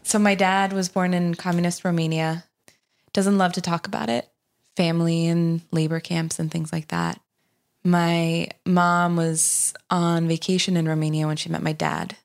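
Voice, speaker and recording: A young woman with a fairly low voice, from Sweden, chatting as a podcast guest. She talks in a loose, unhurried way and fills her pauses with ums and uhs. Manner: relaxed, hesitant with frequent ums and uhs. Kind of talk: casual conversational storytelling.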